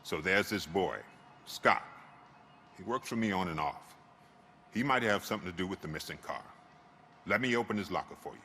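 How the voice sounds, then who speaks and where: An actor playing a shifty, grumbling car repair shop owner in a video game, raspy, wry and defensive. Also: male voice, low-pitched, expressive, normal speed, pauses often, noisy background. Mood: resigned, confiding. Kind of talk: video game cutscene acting. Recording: long hall-like reverb.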